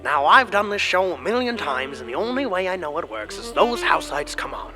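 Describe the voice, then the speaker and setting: A man voicing Gil, an excitable, dramatic male skeleton stage actor in a storybook reading. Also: high voice, brisk, over music, pitched above the performer's own voice. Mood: hard-boiled. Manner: gushing, dramatic, slips into hard-boiled tough-guy drawl. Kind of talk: storybook character voice.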